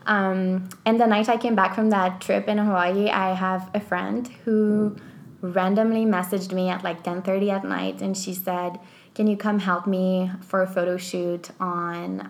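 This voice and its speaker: A woman's voice: medium pitch, measured pace, creaky. Young French-Canadian woman, podcast guest, chatty and rambling, plain mid-range voice. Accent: Québécois French. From Quebec, Canada. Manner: chatty, many "like" fillers, storytelling. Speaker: clothing designer, guest. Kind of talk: conversational interview answers.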